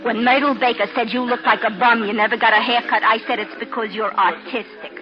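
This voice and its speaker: Actress as flustered young hostess, muffled radio. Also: female voice, a little high, fairly even intonation, a little fast, a crowd in the background, lightly acted. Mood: admiring, sociable. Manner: placating, flustered. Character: fond, flustered young woman hostess courted by two men. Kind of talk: 1950s radio drama acting. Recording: muffled vintage radio, music behind.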